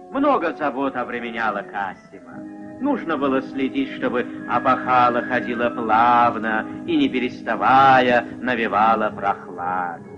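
A man narrating a puppet-film fairy tale as a wry, measured, expressive storyteller. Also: mid-range voice, lightly acted. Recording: dull old film soundtrack, music behind.

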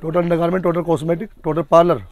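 A man's voice: on the high side, flat delivery. A middle-aged shopkeeper man rattling off wholesale prices in a small crowded shop, recorded on a nearby phone. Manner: rapid, repeats price figures, persuasive seller. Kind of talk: fast sales pitch rattling off prices.

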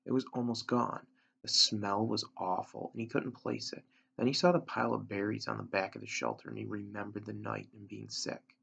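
Man in his thirties, very creaky voice, husky, storybook narration, dull lo-fi webcam sound. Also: on the low side, fairly even intonation, brisk, pushed and bright. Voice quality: gravelly, husky. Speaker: teacher reading aloud. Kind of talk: storybook read-aloud narration. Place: small room at home. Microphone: computer webcam at arm's length.

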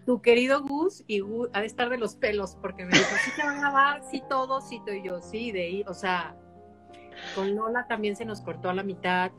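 A woman hosting an online conversation, talking casually and apologizing with a lively, chatty manner. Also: mid-range voice, brisk, soft background music. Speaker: interviewer host. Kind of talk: casual live-stream conversation. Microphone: online live-stream.

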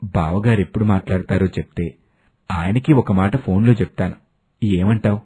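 A man's voice: sits low, normal speed, mellow, husky, voice pushed down. A man voicing a friendly older village man, an affectionate elder brother in a moral story. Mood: earnest, affectionate.

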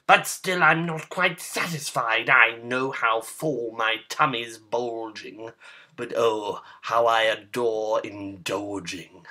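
A woman's voice: very deep voice, takes it slowly, sharp tone, voice pushed down, exaggerated voice. A woman voicing a greedy, menacing male storybook wolf in a blustering, relishing, theatrical way. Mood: gloating, greedy.

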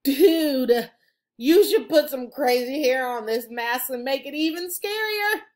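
A woman's voice: sits high, expressive, slow pace. A woman voicing a tiny, bold, eager boy from a storybook, giggly and emphatic.

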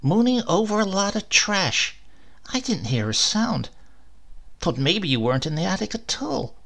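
Man putting on a sharp, shrill, nagging old wife. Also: big pitch swings, normal speed, vocal fry, exaggerated voice. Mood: scolding. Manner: curt, snappish, scolding. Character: sharp, suspicious, scornful elderly wife.

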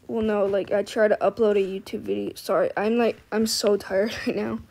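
A woman with a scratchy, quiet voice, rambling casually while playing a game. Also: medium pitch, level tone, a little fast, gravelly. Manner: quiet, soft-spoken, trailing off. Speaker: gaming video creator. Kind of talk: rambling casual commentary over gameplay.

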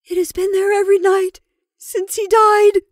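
A woman voicing a frantic, obstinately insistent woman who is shrill with horror in a dramatic story. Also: little pitch movement, moderate pace, dry recording, clean studio sound. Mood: horrified.

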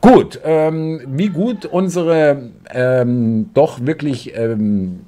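A man's voice: mid-range voice, drawn-out words, dark tone. A man in his forties giving sarcastic political commentary while reading news articles aloud from his desk, full of hesitations.

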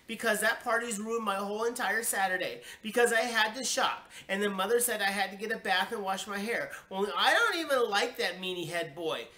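A woman's voice: medium pitch, fairly quick, hardly any pauses, bright, forceful tone, roomy sound, pitched above the performer's own voice, natural voice. A woman voicing a stubborn, indignant little girl from a storybook, pouting and snappy with childish grammar. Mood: whiny, indignant.

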